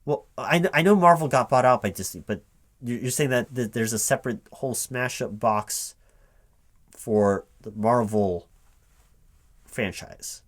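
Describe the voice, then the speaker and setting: Male, mid-pitched, for spoken ASMR roleplay as a recruiting manager; improvised, hesitant, confused questioning. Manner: halting, puzzled, repeating things back as questions. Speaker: performer playing a recruiting manager interviewing a job applicant.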